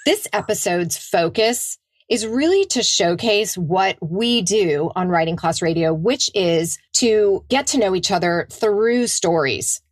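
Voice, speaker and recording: A woman co-hosting a podcast, excitable in casual chat, swearing freely and laughing. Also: medium pitch, measured pace, no echo. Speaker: podcast co-host.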